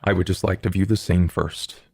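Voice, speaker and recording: A man voicing an eerie, composed vampire artist in a tabletop game, with slow, formal, deliberate phrasing. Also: low voice, steady tone, gravelly, dark tone, breathy edge, no echo, studio quality, heavily acted. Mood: measured, intent. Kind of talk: tabletop roleplay in character.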